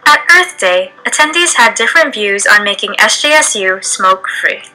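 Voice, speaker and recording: A woman's voice: mid-range voice, animated, normal speed, bright, forceful tone, poor audio. A woman reading scripted narration in the style of a short documentary. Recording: music bed behind. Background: background music.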